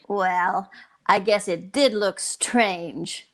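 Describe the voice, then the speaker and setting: A woman playing an excitable, scheming housewife in a 1940s-style radio sitcom, animated and pleading. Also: mid-range voice, very slow. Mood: sheepish. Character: excitable, scheming housewife, eager to explain herself.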